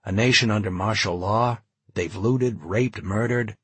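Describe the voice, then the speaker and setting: A grim male leader, voiced by a narrator reasons bitterly and coldly in audiobook dialogue. His voice is lowish and very husky, with forceful delivery and a dry, close sound. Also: measured pace, no echo, exaggerated voice.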